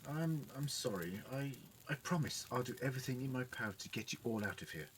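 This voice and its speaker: A man's voice: medium pitch, lively intonation, fast talker, a little hiss or hum, lightly acted. A man playing an eccentric, polite alien time-travelling scientist in an audio drama, with a precise, wordy manner. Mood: concerned. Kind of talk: fan audio drama acting.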